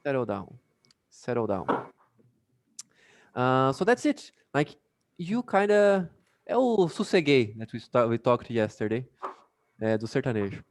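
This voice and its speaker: A man's voice: mid-range voice, big pitch swings, a little slow, long pauses. A Brazilian man with a Brazilian Portuguese accent, teaching an English class casually over a video call and chatting with many fillers.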